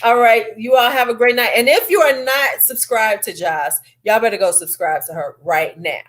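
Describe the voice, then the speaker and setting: A woman's voice: on the high side, normal speed, a bit bright. A middle-aged woman with a Midwestern accent, hosting a casual live chat and rambling with frequent laughs. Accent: Chicago, Midwestern. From American Midwest. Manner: chatty, rambling, laughs often. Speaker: livestream host.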